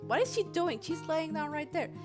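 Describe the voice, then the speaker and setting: A woman's voice: sits high, expressive, normal speed. A woman live streaming a visual novel, chatting casually and playfully with her viewers. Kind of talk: casual livestream chat mixed with reading game text aloud. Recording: music playing behind the voice.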